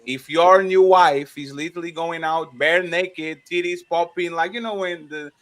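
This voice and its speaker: A man's voice: fairly high pitch, fairly even intonation, moderate pace, fairly bright tone. A man hosting a casual panel discussion, stumbling and repeating words as he presses the others with questions. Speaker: panel host.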